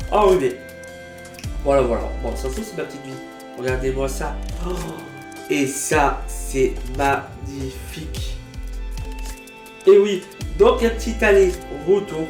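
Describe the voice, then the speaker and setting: Animated man telling stories, guitar music. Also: on the high side, echoey room. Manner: lively, animated storytelling with sound effects. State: has a cold. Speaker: vlogger. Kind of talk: casual storytelling vlog.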